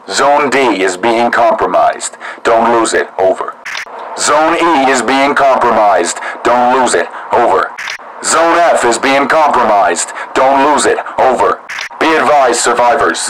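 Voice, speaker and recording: A man's voice: medium pitch, noisy background. A man playing a terse, commanding National Guard radio operator, calling out orders in clipped, formal military radio procedure through a radio filter.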